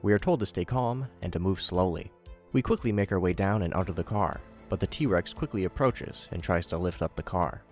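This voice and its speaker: A man with a low voice narrates a scripted recap and adds his own commentary. He slips in wry, jokey asides as he goes, and music plays clearly behind him. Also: fairly quick, darkish tone.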